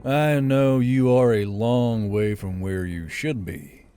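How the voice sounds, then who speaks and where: Weary male officer, acted by a narrator: gruff, low, clipped lines, fantasy war tale, faint music bed. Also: slow pace, dark tone, lightly acted. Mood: guarded. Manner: terse, low-voiced. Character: gruff, weary, sardonic male military commander.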